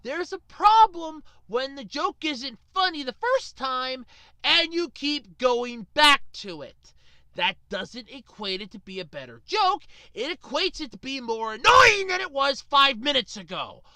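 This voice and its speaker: A woman's voice: high voice, sing-song, unhurried, sharp tone, no room sound. A woman delivering an opinionated rant to viewers in an exasperated, sarcastic and emphatic manner. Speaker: video ranter.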